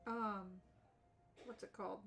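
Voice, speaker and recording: A woman's voice: medium pitch, steady tone, unhurried, creaky, a bit bright. A woman chatting casually on a live game stream in an informal, rambling way. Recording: game music behind the voice. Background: video game music.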